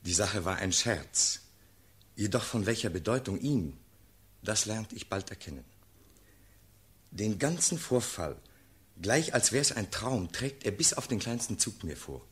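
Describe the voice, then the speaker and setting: A steadfast nobleman officer in a classical radio drama. His voice is fairly low and husky, and he declaims verse in an earnest, measured way. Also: expressive, a bit bright, exaggerated voice. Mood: earnest narrating. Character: earnest, steadfast officer count pleading before his sovereign. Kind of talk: classical German radio play acting in verse.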